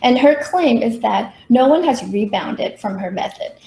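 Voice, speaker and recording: A woman's voice: on the high side, animated, normal speed, warm tone. A middle-aged woman from Taiwan giving a prepared workshop presentation with personal stories, as a professional consultant. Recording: roomy echo, faint background noise.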